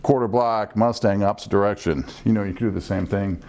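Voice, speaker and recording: A middle-aged man speaks in a deep voice with plenty of creak. He explains in a measured, instructive way, like an experienced controller talking on a panel in a meeting room. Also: darkish tone. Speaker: air traffic controller. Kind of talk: panel discussion, explanatory talk. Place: small meeting room, around a conference table.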